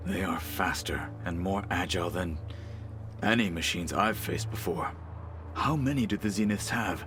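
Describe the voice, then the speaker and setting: Deep male voice, actor as stern warrior, formal, terse, video game cutscene, music behind. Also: moderate pace, close to an everyday voice. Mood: grave.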